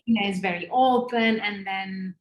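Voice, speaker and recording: A woman's voice: mid-range voice, fairly even intonation, moderate pace. A woman in her forties from northern Italy with an Italian accent, a former ballerina answering interview questions in a hesitant, restarting way. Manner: hesitant, many fillers and restarts. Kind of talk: casual interview conversation. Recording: roomy echo.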